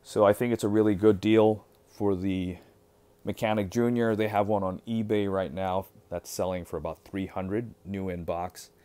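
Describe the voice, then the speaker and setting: Low mellow-voiced guy showing off a pocket knife at his table, easygoing, lots of ums. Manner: relaxed, unhurried, with fillers and trailing off. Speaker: knife collector reviewer. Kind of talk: casual show-and-tell. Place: indoors at a cutting-mat table. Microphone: overhead camera on hands, voice from off-frame.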